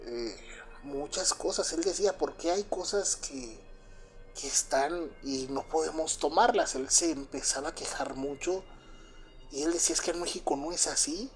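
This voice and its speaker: Halting man with a Mexican accent. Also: on the high side, faint music behind. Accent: Mexican Spanish. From Cuba, raised in Mexico. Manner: halting, full of fillers and restarts, confiding. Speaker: storyteller. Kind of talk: first-person spoken recollection.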